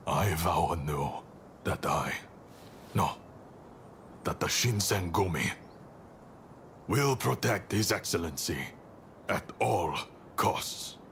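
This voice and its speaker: Low, solemn samurai commander. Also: male voice, fairly bright tone, a little hiss or hum, heavily acted. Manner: solemn, formal, measured. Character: earnest, honorable male Shinsengumi samurai commander. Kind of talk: video game cutscene acting.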